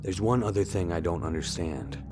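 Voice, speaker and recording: A man's voice: low voice, moderate pace, lightly acted. An actor playing an earnest, wary amateur investigator and worried father in an adventure game, questioning in a guarded, restrained way. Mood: guarded, curious. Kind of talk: adventure game dialogue acting. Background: music.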